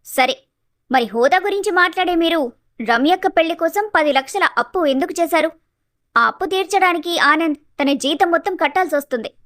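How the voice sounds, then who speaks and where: A woman voices a composed young daughter-in-law from an animated family tale. Her pitch is high, and she stands her ground with polite, reproachful firmness. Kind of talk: animated family story acting.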